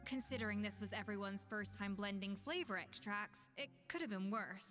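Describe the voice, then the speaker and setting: A woman voicing an exacting, proud young master chef in an anime video game, crisp and blunt in her delivery. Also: mid-range voice, a little fast, fairly bright tone, long reverb tail, faint music behind, lightly acted. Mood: exacting, composed.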